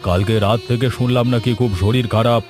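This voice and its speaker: A man's voice: medium pitch, steady tone, fast talker, darkish tone, soft background music, lightly acted. A man voicing a stern village headman in a cartoon, blunt, judgmental and moralizing. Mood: blunt, disapproving. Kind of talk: cartoon voice acting.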